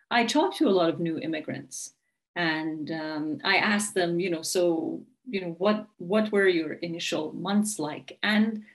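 A middle-aged woman from Iran, an author talking as a guest over a video call, thoughtful, with many fillers and restarts. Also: fairly low pitch. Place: home room with bookshelf.

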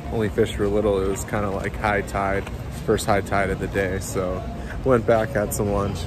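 A man's voice: on the low side, noisy background. A man in his twenties chatting casually to viewers outdoors on a handheld camera, in a laid-back, folksy way. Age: 20s. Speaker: travel vlogger. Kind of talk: casual vlog talk. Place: outdoors, beach and town street. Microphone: handheld or body-worn action camera. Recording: loud music behind the voice.

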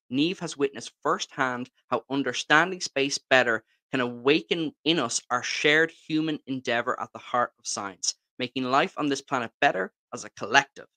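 An Irish podcast host in his thirties with a bright, forward voice on a dry close microphone. He interviews guests and reads their introductions out. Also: medium pitch, dry recording. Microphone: studio mic at home desk.

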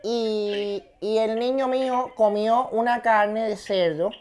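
A man's voice: sits high, steady tone, slow, a little mellow. Man putting on a brazen, drawling mother. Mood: complaining. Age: young adult.

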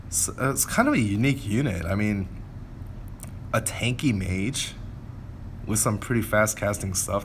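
A man with a fairly low voice talks through gameplay casually. He thinks aloud and explains things as he goes, over clearly audible background noise. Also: fairly bright tone. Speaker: gameplay commentator. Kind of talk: casual game commentary.

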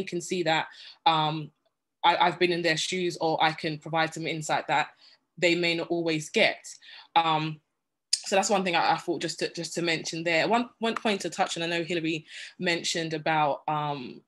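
A woman from Guyana speaking as a panelist on a video call, talking earnestly with many restarts and fillers. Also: low voice.

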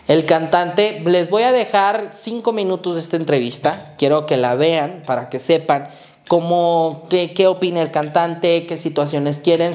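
A young man hosts from a small indoor studio set, seated and talking straight to camera. He is chatty and thanks his guests at length, in a casual run-on way. The lapel mic gives a close, even sound. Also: fairly high pitch. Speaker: host.

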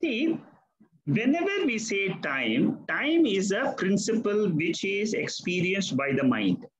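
A man's voice: sits high, sing-song, slow, roomy sound, muffled recording. An older man, a Vedanta teacher, patiently explaining answers to a student in an online class. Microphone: video call.

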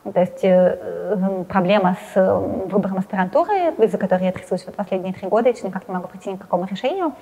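Fast-talking woman in a reverberant studio. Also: medium pitch, warm tone. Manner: hesitant fillers, fast and talkative. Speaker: interviewee, science journalist. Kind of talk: interview answers to camera. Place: indoor studio with plain wall, seated in armchair.

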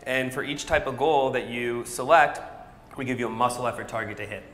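Fitness brand rep, man in his thirties, rapid instructive delivery, echoey gym recording. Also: medium pitch, a bit bright, soft background music. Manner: fluent, instructive, coach-like. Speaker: startup product specialist. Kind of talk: product demo explanation. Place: large indoor gym with arched hallway.

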